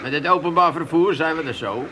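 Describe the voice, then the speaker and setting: Hot-headed, high-voiced Amsterdam grumbler. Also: male voice, brisk, bright, forceful tone, slight background noise. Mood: grumpy. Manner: blunt, indignant, grumbling. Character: hot-tempered, grumbling working-class Amsterdam man, swindled son. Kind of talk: 1980s comic radio drama acting.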